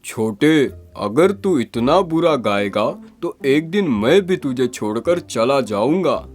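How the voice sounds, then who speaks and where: A man voicing a bossy, grumbling older comic partner in an animated story, with scolding, teasing, drawn-out delivery. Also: mid-range voice, soft background music.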